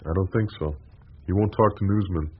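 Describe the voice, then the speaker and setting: An actor playing a sober, shrewd priest in a radio drama, with a husky voice and a restrained, reproving manner. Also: male voice, low-pitched, a little fast. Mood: shrewd, matter-of-fact. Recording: dull, lo-fi radio recording.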